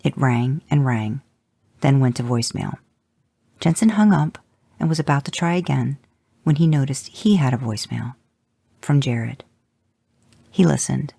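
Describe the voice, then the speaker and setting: A man hosting a podcast, reading fan fiction aloud as narrator in a steady storytelling manner. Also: medium pitch, a little slow, gravelly, pauses often, breathy edge, dry recording. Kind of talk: podfic narration.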